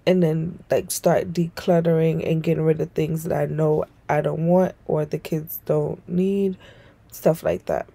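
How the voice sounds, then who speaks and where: A woman's voice: deep voice, little pitch movement, measured pace, vocal fry, mellow, no room sound. A young adult woman narrating a home vlog in a casual, informal, rambling way. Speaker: home vlogger and mother.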